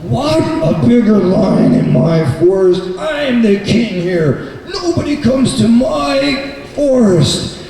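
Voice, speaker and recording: A man voicing a boastful, hot-tempered lion king with blustering, drawn-out lines in a children's puppet show. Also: very high voice, big pitch swings, vocal fry, mellow, voice pushed up, exaggerated voice. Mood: indignant, boastful. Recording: echoey, noisy bookstore, distant mic.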